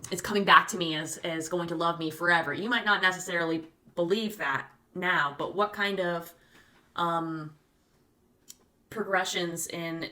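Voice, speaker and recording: A woman's voice: on the low side, bright, forceful tone. A woman interviewer asking long questions, hesitant, with many "um"s and restarts. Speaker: interviewer, host.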